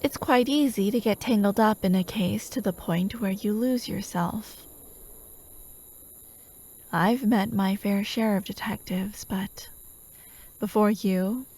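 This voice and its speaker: A woman playing a slow, soft-spoken doting hypnotist. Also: mid-range voice. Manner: gentle, coaxing, often dropping into whispers. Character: elusive female hypnotist, a doting, possessive, soothing captor. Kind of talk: soft-spoken ASMR roleplay. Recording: steady outdoor night ambience, chirping crickets. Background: crickets and night insects.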